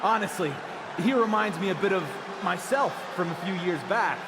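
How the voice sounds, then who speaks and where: An actor playing a proud champion wrestler giving a measured, showy promo in a video game. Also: male voice, sits high, gravelly. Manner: projected, measured, showman-like. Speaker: pro wrestler. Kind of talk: scripted in-ring wrestling promo. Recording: arena reverb with crowd noise.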